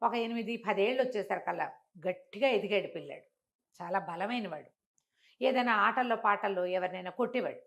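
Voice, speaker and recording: An older woman telling a traditional moral story in a conversational interview, acting out the characters' speech with lively jumps in voice. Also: a little fast, creaky. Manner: animated, acts out quoted speech with big pitch jumps.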